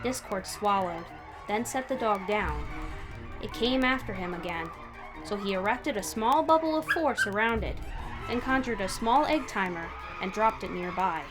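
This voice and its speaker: A woman reading fan fiction aloud as an expressive third-person narrator with dramatic pauses. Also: medium pitch, rough recording. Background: background music.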